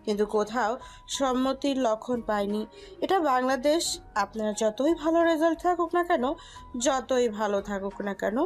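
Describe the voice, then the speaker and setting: A woman's voice: fairly high pitch, a little mellow. A woman narrating a scripted dramatic story over music.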